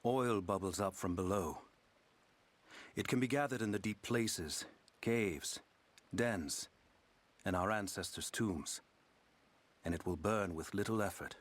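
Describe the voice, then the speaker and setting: A man playing a stoic survivor who passes on his people's lore, in grave, measured video game narration. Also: deep voice. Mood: grave, instructive. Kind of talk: dramatic game document narration.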